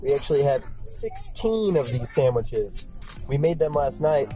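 A young man chatting casually as a travel vlogger, joking and relaxed, filmed on a handheld camera. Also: medium pitch, a little slow, faint music behind, low-quality recording. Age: young adult. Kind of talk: casual vlog commentary. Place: sleeper train cabin and small kitchen.

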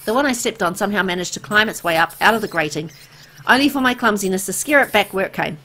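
A woman playing a weary, soft-hearted young woman who narrates her bleak town in a visual novel, reflective and conversational. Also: mid-range voice, lively intonation, fast talker, rough recording. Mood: pitying, somber. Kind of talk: scripted first-person visual novel narration. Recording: music under the voice. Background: background music.

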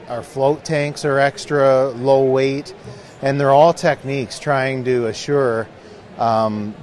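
A man in his thirties explains his product in an earnest, steady way, sounding a little worn down at the end of a long trade show. His voice sits at a middle pitch, and a handheld mic is held close to him at the booth. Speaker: canoe company owner. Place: busy indoor expo hall booth. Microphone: handheld mic held by interviewer.

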